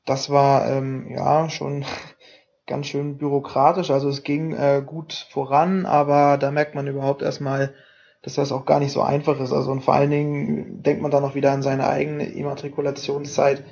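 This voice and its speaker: A young man with a gravelly, husky voice talks haltingly in a flat, level tone, stopping often for fillers. His voice comes through a dull, lo-fi home podcast recording. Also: mid-range voice. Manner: halting, many fillers and restarts. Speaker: podcast co-host. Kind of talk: casual podcast chat.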